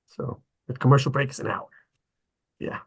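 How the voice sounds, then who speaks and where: A man chatting casually in a group, rambling and halting, with a husky, slightly gravelly voice. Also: medium pitch.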